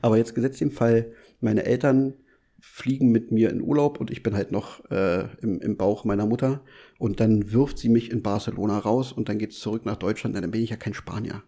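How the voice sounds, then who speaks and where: Low, hesitant podcast co-host, a man. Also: fairly even intonation, normal speed, mellow. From Mecklenburg-Vorpommern, Germany. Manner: hesitant, repeats words when starting. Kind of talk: casual chat.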